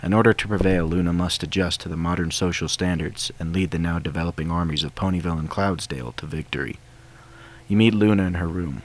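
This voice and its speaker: A man's voice: low voice, fast talker, a little hiss or hum. A man reading a scripted fan fiction story as its narrator. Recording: soundtrack music behind the voice. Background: game soundtrack music.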